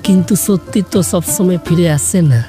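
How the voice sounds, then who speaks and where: A man playing a brooding, quietly hurt young former lover in an audio story, grave and restrained. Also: high voice, normal speed, natural voice. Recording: music behind the voice.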